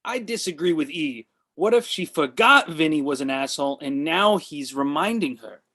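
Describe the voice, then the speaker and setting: Contrarian big brother, played by a man, slightly high male voice, grumpy and bossy, comedy table read. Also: animated, normal speed, bright, forceful tone, exaggerated voice. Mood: skeptical. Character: grumpy, skeptical older brother, insecure podcaster.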